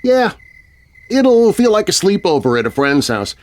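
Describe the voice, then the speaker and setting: A man voicing a shy, earnest, soft-spoken young boy in a children's horror story. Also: high voice, big pitch swings, measured pace, voice pushed up. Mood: shy, happy. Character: young boy narrator's own voice, shy, earnest, easily frightened.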